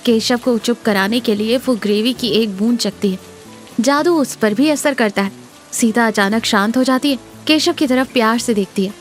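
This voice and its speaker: A woman's voice: fairly high pitch, normal speed, soft background music. A woman reading scripted narration for a cartoon moral story.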